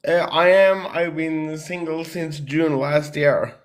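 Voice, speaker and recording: A Croatian man in his thirties calls into a dating show over a video call. He speaks English as a second language, in a voice a touch high for a man. He is earnest but halting, filling his pauses with "uh" and restarting his sentences. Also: steady tone. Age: 30s. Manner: hesitant, many uh and restarts, earnest.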